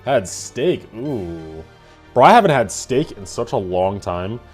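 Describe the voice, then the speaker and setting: A man in his twenties chatting casually with his live-stream chat, laughing and swearing in quick asides. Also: on the low side, sing-song, slightly rough, faint music behind. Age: 20s.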